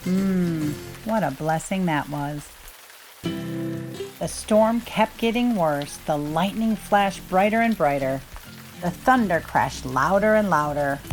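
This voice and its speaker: A woman's voice: on the low side, slow. Woman reading a children's storybook over music. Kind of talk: expressive scripted read-aloud narration.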